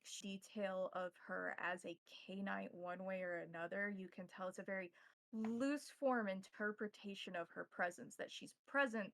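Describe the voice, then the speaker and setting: A woman running a tabletop roleplaying game as game master, narrating in a measured, formal way with deliberate wording. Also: medium pitch, no echo, low-quality recording.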